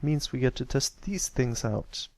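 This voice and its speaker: A man's voice: mid-range voice, animated, a little slow. A man voicing a confident, kindly young big-brother pony in an easygoing, reassuring way. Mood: cheerful.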